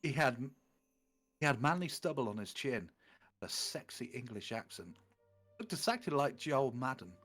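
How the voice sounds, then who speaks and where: A man's voice: mid-range voice, sing-song, moderate pace, long pauses, a bit bright, faint music behind. A man reading fan fiction aloud in a comic way, with casual banter in between.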